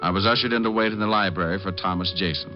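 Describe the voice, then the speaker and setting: An actor playing a breezy, cocky private detective who teases and narrates wryly in an old-time radio drama. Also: male voice, low voice, quick pace, soft background music, close to an everyday voice. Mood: wry narration. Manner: teasing, flippant, wry. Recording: muffled vintage radio recording.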